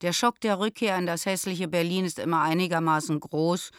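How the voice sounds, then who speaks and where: A woman's voice: on the low side, steady tone, brisk, smooth, no room sound, studio quality, lightly acted. An actress in a radio play as an affectionate, world-weary middle-aged or older mother, reading a letter to her daughter.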